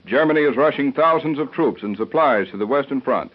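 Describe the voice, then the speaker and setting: A man's voice: medium pitch, expressive, a little fast. An American man reading scripted copy as a 1930s radio announcer. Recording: muffled 1939 radio aircheck, music behind.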